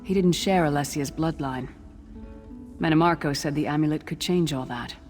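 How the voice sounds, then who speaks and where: A woman's voice: deep voice, moderate pace, darkish tone, lightly acted. Actress as battle-hardened fantasy warrior woman, earnest n grave, game dialogue, faint music under it. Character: battle-worn, earnest female warrior companion. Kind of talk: video game character dialogue.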